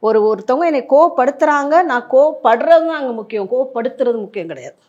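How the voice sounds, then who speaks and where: Female coach, fairly high voice, interview setting, emphatic explainer who poses rhetorical questions and drops in English words. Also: animated, fast, darkish tone, muffled recording. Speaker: life coach giving relationship advice.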